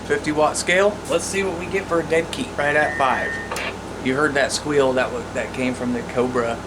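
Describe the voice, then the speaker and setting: A man's voice: a little high, fairly even intonation, normal speed, very noisy. An American man giving a casual, plain-spoken hands-on gear demonstration as an informal presenter. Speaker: radio hobbyist presenter.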